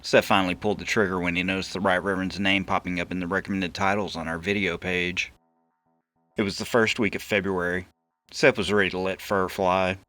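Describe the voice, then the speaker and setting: A man's voice: deep voice, flat delivery, brisk, bright, forceful tone. A man reading a scripted first-person comic novel as an audiobook narrator, with music playing behind him. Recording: music bed under the voice.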